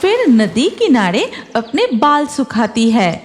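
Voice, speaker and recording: High, animated woman storyteller. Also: slow, slight background noise. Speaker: storyteller narrator. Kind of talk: scripted children's cartoon narration. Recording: music behind the voice.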